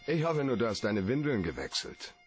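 An actor playing a cool, sardonic private detective in a radio drama, terse, probing and dry. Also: male voice, medium pitch, sing-song, normal speed, soft background music, close to an everyday voice. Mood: mocking. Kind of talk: radio drama acting, hardboiled.

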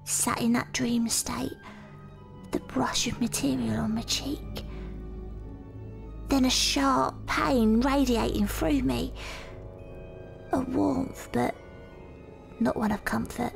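Woman playing haunted young Victorian woman, hushed near-whisper narration, very gravelly voice. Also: on the high side, steady tone, slow pace, long pauses, faint music behind, full character voice. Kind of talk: first-person audio drama narration.

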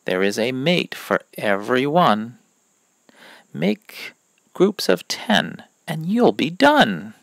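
Slow, expressive man reading to children. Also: mid-range voice, vocal fry, no echo. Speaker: read-aloud reader of a children's picture book. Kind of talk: children's story read-aloud of rhymes.

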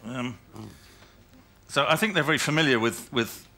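A man's voice: medium pitch, normal speed, pushed and bright. Chatty british man on a panel. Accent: British English. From United Kingdom. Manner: rambling, self-correcting, laughing asides. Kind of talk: conference panel discussion. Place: conference hall stage.

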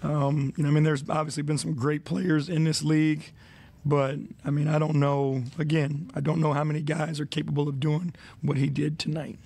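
A man in his forties, a head coach answering reporters at a postgame press conference, speaking into a desk mic in an arena press room, measured and reflective with many fillers. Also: fairly high pitch, level tone, gravelly, darkish tone, slightly rough, no room sound.

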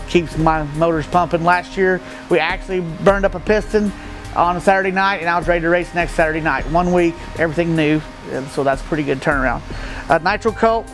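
A middle-aged man from the Midwest with a fairly high voice talks in a plain, matter-of-fact way, pausing on fillers as he explains his race car. He is picked up by a camera a few feet away in an open metal shed, with faint music running quietly underneath. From Midwestern United States. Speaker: stock car racer. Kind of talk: casual walkaround explanation. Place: open metal shed with gravel floor, outdoors nearby.

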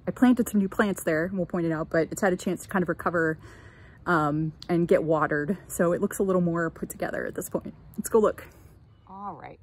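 Woman, thirties, lowish voice, chatty gardening vlogger, cheerful asides, casual tour narration, outdoor ambient noise. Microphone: handheld phone.

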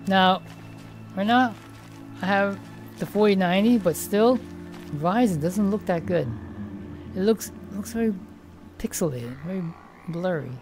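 A woman with a gravelly, creaky edge to her voice, talking casually through a live game stream from her room at home while wearing a VR headset. Her commentary rambles, stopping and restarting as she works out the controls, with faint music playing underneath. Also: on the low side. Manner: rambling, hesitant, lots of restarts.

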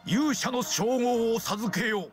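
A man's voice: very high-pitched, takes it slowly. A man playing a solemn old king in a fantasy video game, speaking in a grand, formal, commanding manner. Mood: proud, proclaiming. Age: older. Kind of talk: dramatic video game voice acting. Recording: game audio with music behind.

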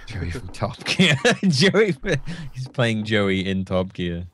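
A man chatting casually while playing a game with friends, excitable, swearing and laughing often. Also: fairly low pitch, takes it slowly, a little hiss or hum. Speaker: game player. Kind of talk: casual gaming chat.

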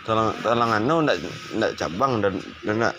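A man's voice: fairly low pitch, normal speed, a crowd in the background. A man chatting casually and teasingly with others. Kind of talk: casual chat. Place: small open-fronted shop by a road. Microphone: handheld phone. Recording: very noisy phone recording in shop.

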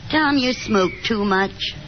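A woman's voice: medium pitch, takes it slowly, close to an everyday voice. An actress playing a fussy, talkative, hopeful middle-aged mother in a 1950s radio drama, with a dramatic, insistent manner. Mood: wistful chatter. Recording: dull, noisy 1950s radio broadcast.

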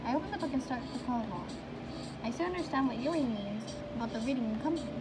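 A woman reading game narration aloud in a casual way, quick and stumbling over words. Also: fairly high pitch, animated, loud music behind the voice. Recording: noisy home recording.

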